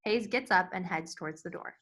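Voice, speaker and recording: A woman's voice: fairly low pitch, brisk, a bit bright. A woman reading stage directions as scripted narration for a screenplay table read.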